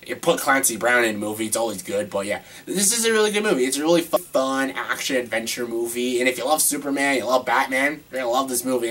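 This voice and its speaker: A man with a cold and a stuffed-up nose, chatting excitedly and rambling through a casual top-ten video. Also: mid-range voice. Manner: excitable, rambling, repeats himself. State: congested with a cold. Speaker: vlogger ranking films.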